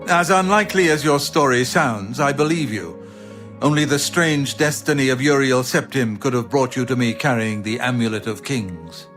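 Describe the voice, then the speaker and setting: A man plays a grave, loyal former captain of royal bodyguards in a fantasy game. He explains things solemnly in a measured, mid-pitched voice, with music playing behind him. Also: a bit bright, close to an everyday voice. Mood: grave, thoughtful. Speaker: video game character. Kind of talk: scripted fantasy game dialogue.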